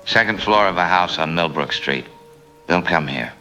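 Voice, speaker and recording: An actor playing a trapped, despairing male survivor, anxious and halting as he calls out on the radio in a drama. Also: low-pitched, expressive, sharp tone, faint music behind, low-quality recording. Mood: despairing, fearful. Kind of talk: apocalyptic radio drama monologue.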